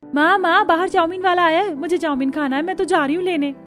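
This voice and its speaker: A woman voicing an excitable young sister-in-law in an animated family story. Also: extremely high pitch, fast, voice pushed up. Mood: excited. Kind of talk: Hindi cartoon story dialogue. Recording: music behind the voice.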